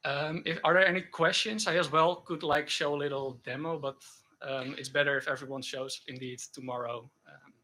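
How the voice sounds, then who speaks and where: A man giving a technical conference talk and answering questions, speaking haltingly with frequent self-corrections. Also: on the high side, fairly even intonation, pushed and bright. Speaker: conference speaker presenting a project.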